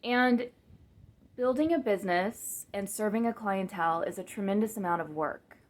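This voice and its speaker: A woman talking casually to her camera in a monotone, flat voice, rambling with fillers and restarts and poking fun at herself. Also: medium pitch, moderate pace. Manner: rambling, many fillers and restarts, self-mocking. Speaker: vlogger and business coach. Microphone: camera mic, speaking to the lens.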